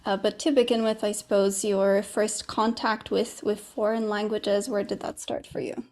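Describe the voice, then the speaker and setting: A woman's voice: medium pitch, flat delivery, moderate pace, a little mellow. A woman hosting a podcast, asking interview questions in a friendly, conversational way. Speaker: podcast host.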